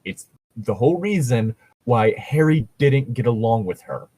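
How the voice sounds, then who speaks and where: A man's voice: medium pitch, lively intonation, measured pace, mellow, husky. A man in casual commentary chat, opinionated and argumentative, swearing freely as he talks. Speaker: co-host reading and reacting to fan fiction.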